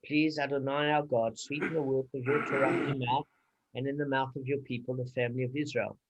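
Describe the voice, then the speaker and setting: A man leading a study group, reciting blessings and reading a translation slowly and deliberately. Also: mid-range voice, level tone, heavy background noise.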